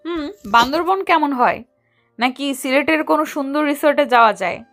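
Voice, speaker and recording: A woman's voice: sits high, expressive, normal speed, a bit bright, soft background music. A woman playing a shy, affectionate young doctor wife in a romantic audio story, with a coy manner. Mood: delighted.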